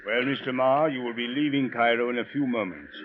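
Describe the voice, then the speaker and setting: An actor playing a stern, principled police officer with precise, formal, authoritative speech in 1940s radio drama style. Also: male voice, medium pitch, brisk, slight background noise, lightly acted. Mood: official. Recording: muffled old radio broadcast.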